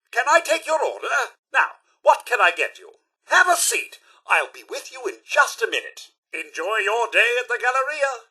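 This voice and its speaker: An actor playing a polite, fussy robot butler with a British accent in a video game, speaking in formal, over-polite service patter with a husky edge. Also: male voice, fairly high pitch, sharp tone, full character voice. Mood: courteous, attentive. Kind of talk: theatrical video game voice acting.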